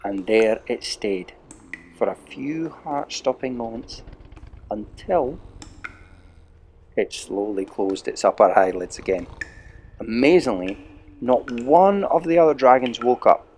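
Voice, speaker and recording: A man reads a children's adventure story aloud at a slow, deliberate pace, leaning on dramatic pauses. His voice sits at an ordinary middle pitch, and a faint hiss of noise lies under the recording. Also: expressive, darkish tone. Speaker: narrator.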